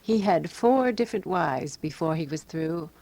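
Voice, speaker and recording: Radio drama narrator: prim, watchful woman companion, lowish voice, dry and deliberate, quietly jealous. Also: animated, vocal fry, dry recording. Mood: dry, wry.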